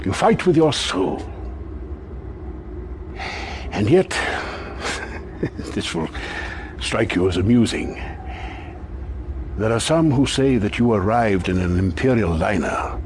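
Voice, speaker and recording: An actor playing a stern, sardonic military advisor in a video game briefing, theatrical, moving between exhortation and insinuation. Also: male voice, expressive, slow pace, long pauses, noisy background. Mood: sly, menacing. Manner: theatrical, swinging from loud exhortation to low insinuation.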